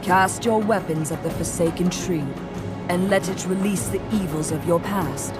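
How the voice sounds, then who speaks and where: An actress playing a regal, coldly composed queen goddess of the underworld in a video game, speaking in an imperious, measured, ominous manner. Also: lowish voice, over loud music, close to an everyday voice. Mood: ominous warning.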